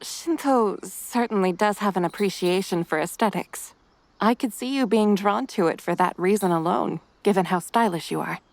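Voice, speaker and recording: A woman voicing a reserved, refined mother who carries quiet guilt, with formal, measured wording in a motion comic. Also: medium pitch, dry recording, natural voice. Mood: gently dry.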